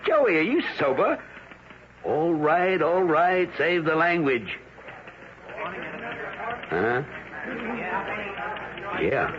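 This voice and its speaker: An actor playing a tough newspaper editor talking on the phone in an old radio drama, brisk and blunt. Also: male voice, fairly high pitch, background noise. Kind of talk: 1970s radio drama acting. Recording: dull old radio broadcast.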